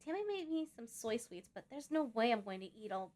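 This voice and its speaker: A woman's voice: fairly high pitch, sing-song, fairly quick, darkish tone. A woman voicing a bossy, fussy young girl who likes to complain, in a visual novel game. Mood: whiny complaining.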